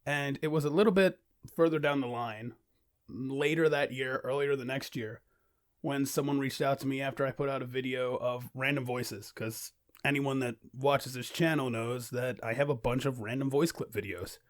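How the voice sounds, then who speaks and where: A man's voice: medium pitch, steady tone, moderate pace. A young man narrating a reflective, informal video in a self-deprecating manner, as an amateur voice actor.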